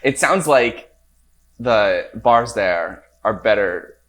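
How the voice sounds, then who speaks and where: Podcast host, super low voice but bouncy pitch, asks stuff, laughs along. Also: female voice, expressive, takes it slowly, fairly bright tone, rough recording. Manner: curious, reactive, laughing. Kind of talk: casual chat.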